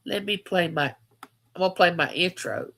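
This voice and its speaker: A woman's voice: fairly low pitch, moderate pace, fairly bright tone. A woman, hosting a casual live chat and telling stories, repeating phrases for emphasis. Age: 50s. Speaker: livestream host.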